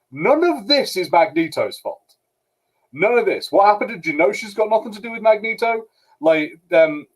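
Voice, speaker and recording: A man's voice: a little high, low-quality recording. A man on a podcast panel giving emphatic opinions in casual talk, often acting out quotes in mock dialogue. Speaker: podcast panelist discussing a show. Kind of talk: casual opinionated group discussion.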